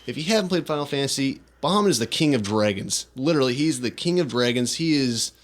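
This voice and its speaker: A man's voice: medium pitch, expressive, quick pace, slight background noise. A man hosting a podcast, casually retelling video game scenes with dramatic storytelling emphasis. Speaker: podcast host. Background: music behind the voice.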